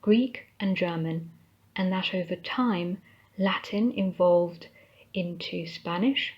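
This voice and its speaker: A British woman, an English teacher, narrating a scripted lesson in a slow, careful, measured way. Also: fairly low pitch, breathy edge. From Britain. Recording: dull lo-fi recording.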